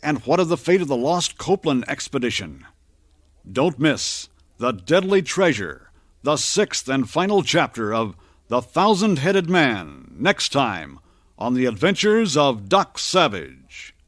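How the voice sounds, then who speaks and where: A man's voice: medium pitch, big pitch swings, unhurried, no echo, clean studio sound. A man announcing and narrating an old-time radio adventure serial in a theatrical, declamatory way. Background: dramatic music.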